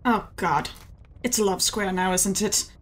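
A woman's voice: medium pitch, slow pace, a little hiss or hum, natural voice. A woman playing a wry, exasperated companion in an improvised pirate comedy fan dub.

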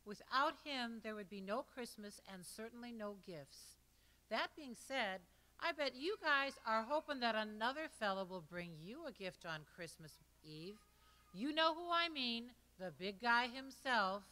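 A woman's voice: fairly high pitch, lively intonation, unhurried, bright, forceful tone, in a large hall, rough recording. A woman speaking as the host of a children's show, addressing boys and girls at a holiday puppet performance.

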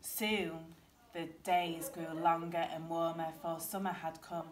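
A woman in her thirties reading a picture book aloud as a teacher, in an expressive storytelling style. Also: on the low side, a little slow, a crowd in the background. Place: primary school classroom, indoors. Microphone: camera a short distance away.

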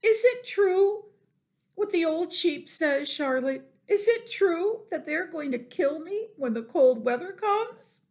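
A woman's voice: very high-pitched, lively intonation, slow, a little mellow, voice pushed up, heavily acted. A woman voicing a hysterical, childish young male pig who wails and pleads in a storybook reading. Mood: frantic. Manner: screaming, wailing pleas.

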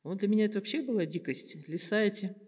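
An older woman from Kazakhstan, a guest artist answering questions thoughtfully, with many pauses and hesitant fillers. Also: mid-range voice, a little mellow, husky. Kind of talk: reflective interview talk. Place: bright room with plants, seated at table. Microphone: table microphone on stand. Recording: roomy reverberant room.

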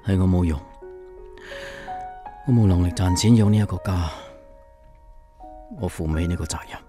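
A man's voice: low voice, moderate pace, music in the background, natural voice. An actor playing an idealistic, frustrated inventor and young father in a radio drama, speaking in an indignant, self-pitying manner. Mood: dejected.

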